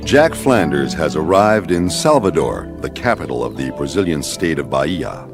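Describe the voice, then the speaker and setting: A man's voice: very deep voice, sing-song, moderate pace, full character voice. A man acting as the storytelling narrator of an exotic adventure radio serial, with scripted delivery. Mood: intriguing. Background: music.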